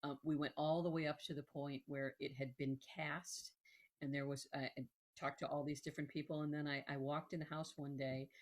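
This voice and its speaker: A woman hosting a casual interview, effusive and halting, often repeating her phrases. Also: sits low, flat delivery. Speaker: interviewer, host.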